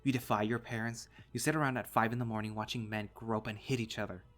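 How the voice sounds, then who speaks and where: This is a man performing a hot-tempered animal father in an audio drama. He scolds with scorn and accusation, stern and forceful, over a music bed. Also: fairly low pitch, fairly even intonation, fast talker, close to an everyday voice. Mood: disgusted.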